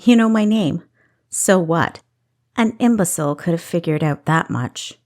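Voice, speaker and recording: A woman's voice: fairly low pitch, lively intonation, measured pace, a little mellow. A woman voicing a defiant, witty female thief in an audiobook, scoffing and playfully sarcastic. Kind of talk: audiobook character acting.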